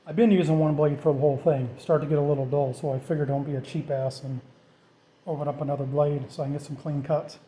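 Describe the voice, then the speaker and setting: A man's voice: on the high side, dark tone. A middle-aged man casually narrating a hands-on tutorial as he works, thinking aloud in an informal, self-deprecating way. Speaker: DIY vlogger.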